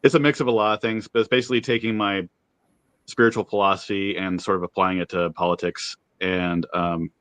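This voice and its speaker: A man's voice: low-pitched, steady tone, fairly quick, fairly bright tone, dry recording. A man introducing himself on a video call, thoughtful and hesitant with frequent fillers. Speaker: new guest. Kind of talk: casual chat.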